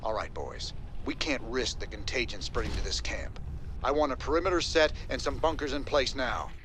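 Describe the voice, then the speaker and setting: A man's voice: mid-range voice, expressive, moderate pace, background noise, lightly acted. An actor playing a tough, weary male rebel commander giving orders. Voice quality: drawling, laid-back authority. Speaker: game protagonist.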